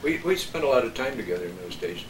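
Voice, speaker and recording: An older man telling anecdotes casually and haltingly, often searching for his words, as a panel guest. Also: mid-range voice, big pitch swings. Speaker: panel guest, veteran local broadcaster. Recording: echoey room, distant mic, clear noise.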